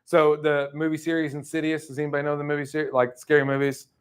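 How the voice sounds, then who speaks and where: A man's voice: on the high side, quick pace, smooth. Chatty male sales trainer. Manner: lively, casual, chatty, many fillers. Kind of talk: live training talk. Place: training room.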